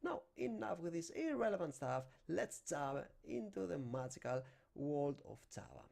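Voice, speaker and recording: A man's voice: on the high side, big pitch swings, normal speed, gravelly, a little mellow. A young man from Greece with a Greek accent, teaching a programming tutorial at a desk mic and walking through on-screen steps in non-native English. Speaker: programming instructor. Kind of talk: screen-recorded coding tutorial. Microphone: desk microphone.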